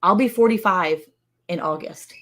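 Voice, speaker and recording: A woman's voice: fairly low pitch, normal speed, a bit bright. A woman in her forties co-hosting a casual livestream from home, chatty and teasing, greeting viewers by name. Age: 40s. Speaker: co-host of a ranch livestream.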